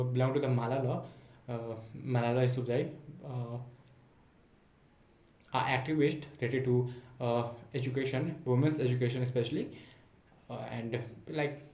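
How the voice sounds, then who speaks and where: A man's voice: medium pitch, a little mellow. A young man from India, speaking English as an in an unscripted monologue to camera, full of fillers and restarts. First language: not English. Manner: hesitant, many fillers and restarts. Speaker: vlogger practicing spoken English. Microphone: camera mic. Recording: echoey room.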